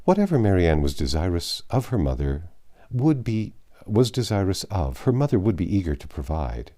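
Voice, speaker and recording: Low, warm-toned man reading aloud. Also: very animated. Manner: measured storytelling with occasional slips. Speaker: narrator. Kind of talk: reading a classic novel aloud.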